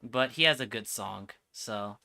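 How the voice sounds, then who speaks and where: A man's voice: on the low side, unhurried, creaky, sharp tone. A man giving casual, slangy reaction commentary as a game streamer, with an exasperated manner.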